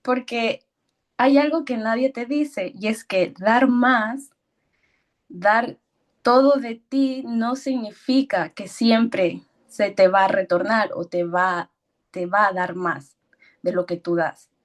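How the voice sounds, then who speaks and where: A woman in her thirties from Ecuador tells her work story as a guest speaker, hesitant and a little nervous, with fillers and soft laughs. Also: on the high side, slow. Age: 30s. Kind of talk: personal story talk.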